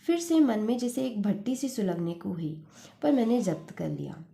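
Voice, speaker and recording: A woman storyteller with a mellow, relaxed voice of medium pitch. She reads literary fiction expressively, keeping an earnest and measured delivery. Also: creaky.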